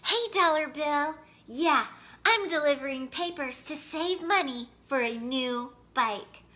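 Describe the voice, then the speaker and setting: A woman's voice: sits high, animated, takes it slowly, sharp tone, pitched above the performer's own voice, heavily acted. A woman voicing an earnest, hardworking young boy from a storybook. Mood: friendly.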